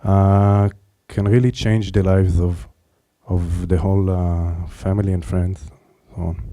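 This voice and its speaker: Deep dark-voiced man, dry sound. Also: flat delivery, no room sound. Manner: earnest, halting with fillers. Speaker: startup founder answering questions. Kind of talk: panel answer.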